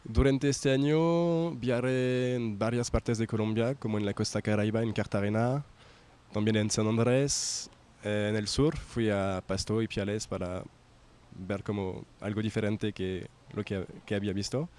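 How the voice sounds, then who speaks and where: A young man from France with a French accent, a native French speaker, giving an informal testimonial as a student and haltingly searching for words in a second language. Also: lowish voice, steady tone, a little mellow. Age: young adult.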